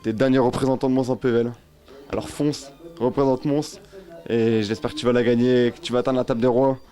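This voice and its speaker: A man's voice: medium pitch, little pitch movement, a little fast, warm tone, soft background music. A man giving a casual, slangy message of support to a friend.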